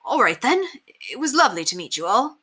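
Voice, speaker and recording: Sheepish young fellow, acted by a woman reader, hearty, friendly, bright, very animated, storybook character. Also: a little high, a little fast, breathy edge, voice pushed up, full character voice. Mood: flustered, cheery. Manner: hearty, breezy, old-fashioned phrasing. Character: awkward, friendly young man, cheerful and sheepish.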